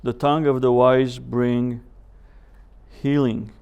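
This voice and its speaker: A man teaching a Bible seminar as a professor, speaking in a measured way with deliberate pauses, scripture quotations and rhetorical questions. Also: medium pitch, flat delivery, gravelly, a little mellow, clean tone, dull audio.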